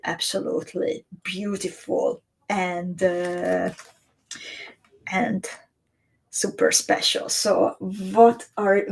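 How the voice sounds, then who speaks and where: A woman talks slowly and unhurriedly as she hosts a live craft stream. Her voice is mellow and soft-edged, and she is chatty and descriptive, greeting her viewers by name. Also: medium pitch, gravelly. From Hungary or Slovakia. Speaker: host of a beading livestream. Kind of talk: live-stream chat, showing beads and reading viewer comments.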